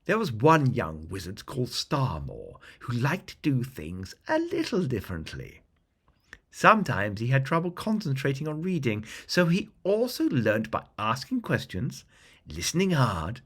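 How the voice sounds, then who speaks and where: Casting: male bedtime-story narrator, mid-pitched and very expressive, dramatic and deliberate fantasy storytelling. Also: breathy edge.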